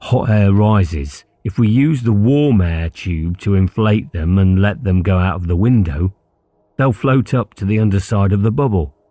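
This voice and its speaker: A man's voice: fairly low pitch, measured pace, warm tone, no room sound, lightly acted. A man reading an audiobook as a mischievous schoolboy, eager and conspiratorial, talking casually about schemes. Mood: keen, scheming.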